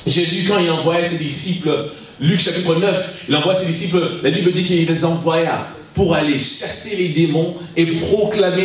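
A man in his thirties from Congo, preaching in halting French with many restarts, holding a handheld mic in a large church hall. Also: high voice. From Congo (Kinshasa). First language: English is his stronger language. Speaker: guest preacher. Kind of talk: sermon to young people. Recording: echoey hall, mic heard from a distance.

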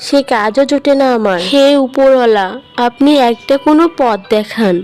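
Woman playing a high-voiced, sorrowful village mother. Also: normal speed, a little mellow, faint music behind, lightly acted. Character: poor, kind, sorrowful young village mother. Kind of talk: children's fairy-tale cartoon.

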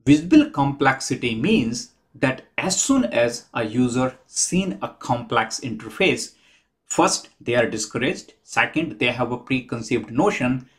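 A man in his fifties, the host, talking to camera from a small room at home in a measured, methodical way, counting his points off one by one. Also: medium pitch, animated. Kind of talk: explanatory talk to camera.

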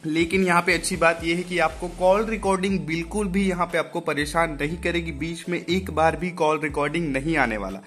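A man's voice: fairly high pitch, a little fast, hardly any pauses, fairly bright tone. A man with an Indian accent hosting a casual step-by-step phone tutorial, conversationally addressing viewers and repeating his instructions. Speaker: tech tutorial host.

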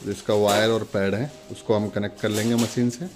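A man's voice: on the low side, flat delivery, a little fast. An Indian man giving a casual step-by-step product demonstration, instructing viewers in a friendly, direct address.

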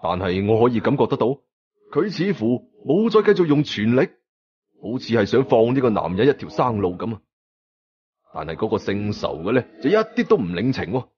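A man's voice: mid-range voice, brisk, muffled recording. A man with a Hong Kong Cantonese accent narrating a story dramatically, acting out the dialogue as he goes. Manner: animated, acts out dialogue.

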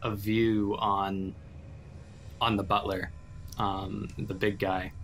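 A man playing a tabletop roleplaying game, improvising casually and haltingly as he thinks aloud. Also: on the low side, little pitch movement, a bit bright, slight background noise, rough recording.

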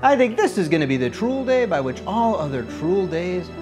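A man playing a folksy father who talks slowly and draws his words out. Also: sits high, very animated, over music. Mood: proud, anticipating. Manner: slow, drawn-out delivery. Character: folksy father secretly planning a holiday costume surprise.

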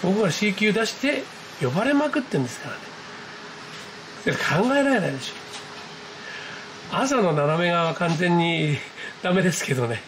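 A man with a high-pitched voice narrates his hobby video in a casual, excitable way. He exclaims and talks half to himself. It is recorded on a handheld camera at home, with some noise behind him. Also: moderate pace, pauses often. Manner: exclamatory, talking to himself and the screen. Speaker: amateur radio hobbyist narrating own video. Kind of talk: excited casual running commentary to viewers. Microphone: handheld camera mic, pointed at computer screen.

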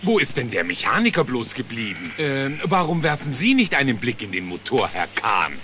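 Easygoing male cartoon bear male actor: wry asides, animated delivery, noisy background. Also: medium pitch, moderate pace, natural voice. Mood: covering up. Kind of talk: cartoon radio play acting in German.

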